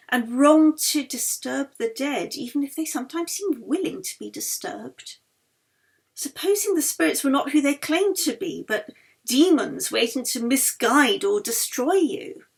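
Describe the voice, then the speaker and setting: Female audiobook narrator, author-reader: higher-pitched, husky edge, expressive literary storytelling. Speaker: author reading own novel.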